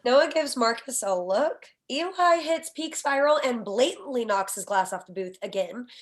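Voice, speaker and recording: A woman with a slightly high voice narrates a screenplay. She reads scene headings and action as the stage directions reader at a table read. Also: lively intonation, moderate pace.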